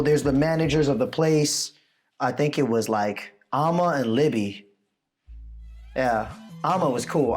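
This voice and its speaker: A man tells a loose, animated story on a casual show, swearing freely and acting out what people said. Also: medium pitch. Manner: animated, loose, swears a lot, acts out quotes. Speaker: co-host telling a story.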